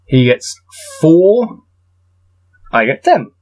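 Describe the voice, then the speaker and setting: A man reading a gamebook aloud and adding low-key, wry muttered commentary as he plays. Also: sing-song, drawn-out words, darkish tone. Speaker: solo gamebook player and reader.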